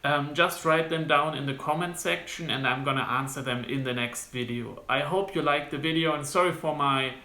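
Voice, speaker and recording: A young man from Germany with a German accent, giving casual advice to camera as a medical student, halting with frequent restarts. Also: a little high, bright, forceful tone. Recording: roomy, echoey room sound.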